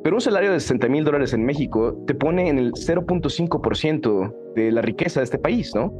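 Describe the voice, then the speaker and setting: A man's voice: fairly high pitch, animated, a little fast, music in the background. A Mexican man in his thirties with a Mexican accent, speaking candidly and animatedly as an interview guest, often ending points with a questioning tag. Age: 30s. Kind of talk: reflective conversational interview.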